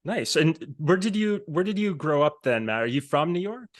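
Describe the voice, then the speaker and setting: A man's voice: a little high, lively intonation, moderate pace. A middle-aged American man from Pennsylvania, chatting casually as a podcast host. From Pennsylvania, United States. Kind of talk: casual conversational interview.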